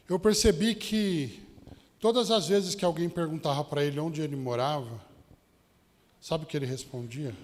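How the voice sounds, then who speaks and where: A male Baptist pastor with a São Paulo Brazilian accent delivers a sermon in a fairly high voice. He speaks straight to his listeners, posing rhetorical questions, and his words carry the long reverb of a hall. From São Paulo state, Brazil. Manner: rhetorical questions, addressing the congregation directly. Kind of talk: sermon with personal anecdotes.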